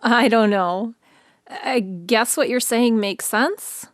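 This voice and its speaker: A woman's voice: fairly high pitch, slow, no echo, clean studio sound. A woman playing a sensible, friendly radio show host in a radio play, speaking in a casual, matter-of-fact way. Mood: skeptical, thoughtful.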